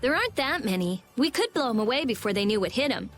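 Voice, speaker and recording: A woman voicing a brash, prickly teenage girl genius in a video game, brusque and excitable. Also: on the high side, fast talker, soft background music. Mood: irritable. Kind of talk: English video game voice acting.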